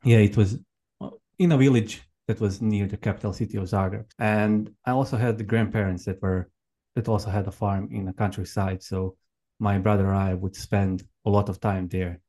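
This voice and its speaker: A man in his thirties from Croatia with a Croatian accent, telling his own story conversationally as an interview guest, in halting, reflective non-native English. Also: fairly low pitch, steady tone, dark tone. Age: 30s. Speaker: podcast guest, permaculture farmer and educator. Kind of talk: conversational personal account.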